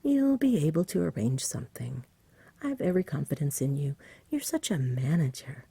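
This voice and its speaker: A woman's voice: low-pitched, big pitch swings, moderate pace, creaky, dark tone, slightly rough, dry recording, full character voice. Woman whispering as a languid, genteel storybook dragon. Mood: lazy, confident. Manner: languid, polite, mildly plaintive. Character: lazy, genteel, fussy dragon who refuses to fight. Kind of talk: whispered ASMR storybook reading.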